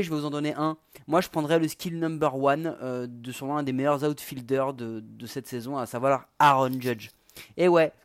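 A man co-hosting a podcast with a casual comic monologue, slangy, jokey and halting. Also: fairly high pitch, no echo, studio quality. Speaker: podcast co-host.

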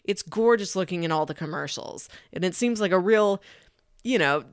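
A woman's voice: fairly low pitch, expressive, normal speed, dry recording. Enthusiastic woman chatting, close dry sound. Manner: enthusiastic, emphatic, uses casual asides. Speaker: podcast co-host. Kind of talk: casual chatty movie pitch.

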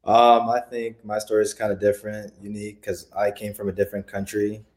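A young man from Tanzania answering interview questions in a modest, low-key way. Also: completely flat delivery, brisk, darkish tone. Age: young adult. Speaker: interview guest, student athlete.

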